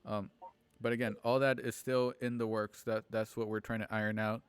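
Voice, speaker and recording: A man hosting an online show, chatting casually and haltingly on a livestream about plans for it. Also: on the low side, level tone, smooth, dry recording. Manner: halting, informal. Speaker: host and organizer of an online radio drama show. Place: at home on a multistream video call.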